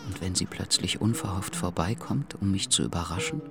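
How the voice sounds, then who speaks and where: A man's voice: low voice, fairly quick, vocal fry, over loud music. An actor in a radio drama playing a hesitant, brooding man who muses to himself. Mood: musing.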